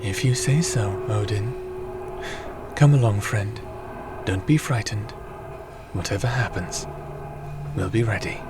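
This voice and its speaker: A man acts out a courteous, softly curious companion in a fantasy audio roleplay. He speaks in a lowish register at a very slow, measured pace. His calm formality carries a polite wryness. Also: heavy background noise, natural voice. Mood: gentle reassurance.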